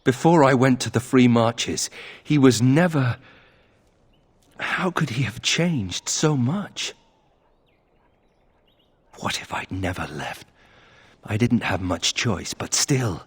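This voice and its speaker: An actor playing a brooding young nobleman in a video game, husky and earnest, with a subdued delivery. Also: medium pitch, lively intonation, slow pace, long pauses. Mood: troubled. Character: brooding young nobleman troubled by his family's disgrace.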